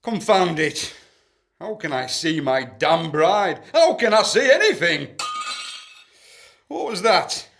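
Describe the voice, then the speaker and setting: Blustering, slow-spoken lusty duke. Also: male voice, high voice, big pitch swings, a bit bright, exaggerated voice. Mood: irritated, flustered. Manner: pompous, bawdy, exclaiming. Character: lusty, blustering, boozy duke on his wedding night. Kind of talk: period comedy radio play acting.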